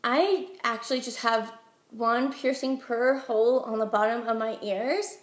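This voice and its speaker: A young American woman from Utah giving chatty, halting answers as a job applicant in an interview, while getting over a head cold. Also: on the high side. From Utah, United States. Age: young adult. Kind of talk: informal phone interview answers. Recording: roomy echo.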